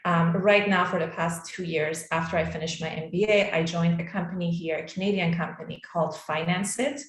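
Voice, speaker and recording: Lowish-voiced woman in an echoey room. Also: steady tone, fairly quick. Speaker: panelist. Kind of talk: informal self-introduction on a panel. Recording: roomy, echoey call audio.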